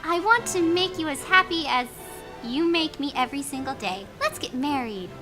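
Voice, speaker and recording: A woman hosting a let's play, reading story text aloud in a playful, expressive way with exclamations and casual asides. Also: high voice, normal speed. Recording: music behind the voice.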